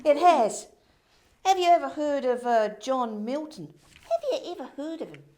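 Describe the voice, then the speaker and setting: An actress in a comedy play, voicing a brisk, bossy director from heaven with an emphatic, theatrical manner. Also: female voice, high-pitched, big pitch swings, warm tone. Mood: impressed, emphatic. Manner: emphatic, theatrical, repeats words for effect. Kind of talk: comedy stage play acting.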